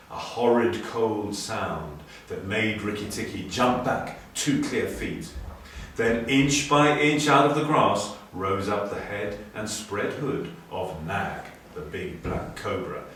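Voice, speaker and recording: A man reading a story dramatically, moving between hushed and emphatic delivery. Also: mid-range voice. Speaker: story reader. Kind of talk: expressive storybook narration. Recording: very echoey room, distant, faint noise.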